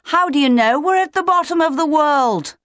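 Storybook penguin chick, young male, played by a woman: squeaky and high, eager, insistent, loud. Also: sing-song, unhurried, no room sound, studio quality. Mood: yelling. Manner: yelling, insistent questioning.

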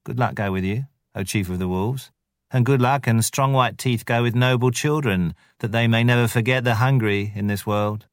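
A man voicing a mean, mischievous male jackal who wheedles and flatters in a drawn-out, sing-song way. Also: medium pitch, no echo, clean studio sound, pitched above the performer's own voice, natural voice. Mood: fawning.

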